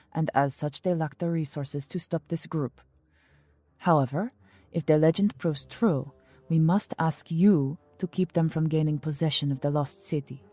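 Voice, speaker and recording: An actress playing a mysterious, commanding royal agent in a steampunk audio drama, formal, cryptic and coolly condescending. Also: female voice, deep voice, mellow, no echo.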